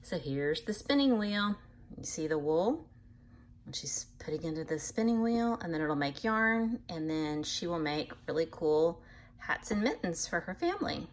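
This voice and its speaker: A woman reading a picture book aloud to children in an animated way, pausing to explain things patiently in simple words. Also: on the low side. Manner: animated, acts out animal sounds, addresses young listeners. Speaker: read-aloud storyteller for children.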